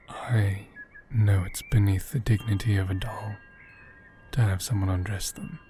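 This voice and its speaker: A man playing a gentle, caring caretaker of a fragile doll in an ASMR roleplay, speaking softly and hesitantly, often whispering. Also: lowish voice. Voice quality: soft, breathy, often whispered. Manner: slow, hesitant, reassuring.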